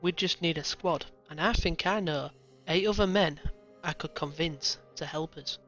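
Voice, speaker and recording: A man's voice: fairly high pitch, normal speed, fairly bright tone, soft background music, natural voice. A man playing a bold young lieutenant who is earnest and persuasive, on a dull lo-fi recording. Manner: earnest, rousing speech-giving.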